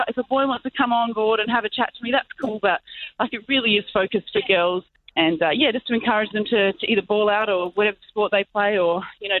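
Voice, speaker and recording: An upbeat young Australian woman talks fast and freely over a tinny phone line. Her voice is fairly low for a woman. She fills her speech with "like" and "you know". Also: no echo. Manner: upbeat, talkative, many "like" and "you know" fillers. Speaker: guest, professional basketball player. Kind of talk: casual interview chat.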